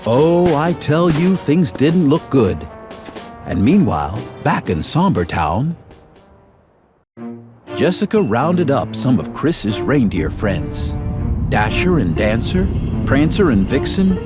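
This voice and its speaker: A kindly, folksy cartoon storyteller with an earnest manner. He speaks slowly with lively rises and falls over clear background music. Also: mid-range voice. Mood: folksy, narrating. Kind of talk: storybook narration in cartoon game. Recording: music behind the voice.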